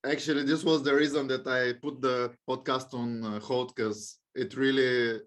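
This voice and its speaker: A man's voice: medium pitch, fairly even intonation, normal speed, a bit bright. A man hosting a podcast, chatting loosely with his guest and calling him bro. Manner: loose, chummy, says bro and like. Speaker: podcast host. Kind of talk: casual interview chat.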